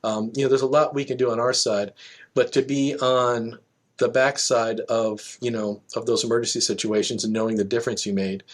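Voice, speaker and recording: Middle-aged male interview guest, medium pitch, conversational and anecdotal, explains like an experienced manager. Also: fairly even intonation, brisk. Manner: explanatory, illustrates with examples and quotes. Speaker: guest, air traffic control manager.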